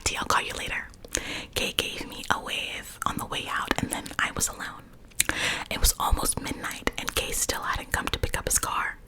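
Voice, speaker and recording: A woman's voice: sharp tone, low-quality recording. A woman reading a story aloud in a whisper, stopping now and then to comment and laugh. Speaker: story reader.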